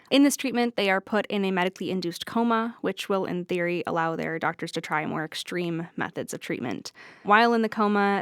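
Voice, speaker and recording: A woman's voice: on the low side, clear, resonant tone, dry recording, studio quality. A woman in her twenties from Canada, explaining her work as a playwright in an interview. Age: 20s.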